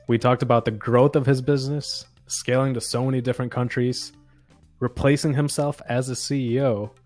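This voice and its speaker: A man's voice: medium pitch, darkish tone. A man hosting an interview podcast, introducing his guest and asking questions. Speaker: podcast host.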